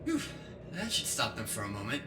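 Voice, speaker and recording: A man playing a jittery, quick-thinking cyberpunk hacker guide in an audio drama, nervous and excitable. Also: mid-range voice, loud music behind the voice. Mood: relieved, focused.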